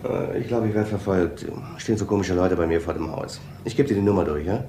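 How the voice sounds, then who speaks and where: An actor playing a stubborn, quietly wry investigator with a low-key, hesitant manner in a radio crime drama. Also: male voice, fairly quick, roomy sound, a little hiss or hum. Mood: uneasy. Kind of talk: German crime radio play acting.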